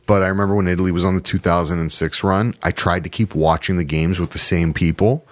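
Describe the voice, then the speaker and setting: A man's voice: low voice, fairly even intonation, fast, no room sound. A Canadian man chatting casually as a podcast co-host. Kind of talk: casual group chat about soccer.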